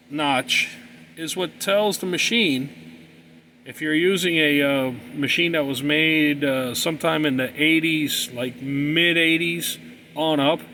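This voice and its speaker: A man's voice: fairly high pitch, fairly bright tone, slight background noise. A man giving an informal hands-on tutorial in a plain-spoken, folksy, explanatory way. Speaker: hobbyist presenter explaining cassette tapes.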